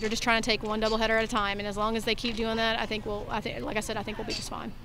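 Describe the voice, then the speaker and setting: Woman in her 30s, softball coach, candid postgame talk, flat even pitch, slightly high voice, outdoors by a field, handheld mic. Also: very fast. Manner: candid, talks through her thoughts with restarts and "I think". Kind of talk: postgame interview. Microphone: handheld mic held in front of her.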